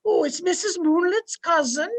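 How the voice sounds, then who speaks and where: Crusty elderly Scottish maid, extremely high pitch, tart tongue, radio-play style. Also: female voice, normal speed, a little hiss or hum. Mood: announcing. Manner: tart, impudent. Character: crusty, disagreeable old Scottish housemaid. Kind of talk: old-time radio drama acting. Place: at home, recorded over video call.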